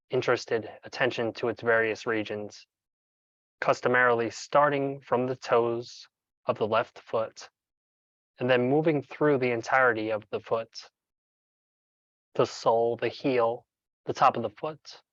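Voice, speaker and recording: A man speaking as a meditation coach, narrating a guided session in a measured, instructional way with deliberate pauses. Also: medium pitch, fairly bright tone, no echo, highs cut off.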